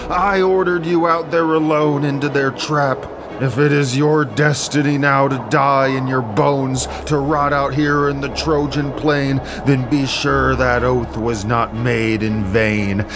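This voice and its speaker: A man's voice: mid-range voice, fairly even intonation, slow, over music, lightly acted. An actor playing a grief-stricken, vengeful warrior king in an epic audio drama, declaiming in anguish. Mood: anguished, guilt-ridden.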